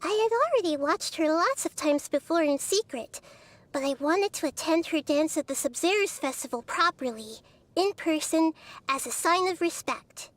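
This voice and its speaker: Game voice: a soft-spoken child goddess, very high, dry studio sound. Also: female voice, animated, moderate pace, no room sound. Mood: tender. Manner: tender, earnest and soft-spoken. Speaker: game character. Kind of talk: game character voice lines.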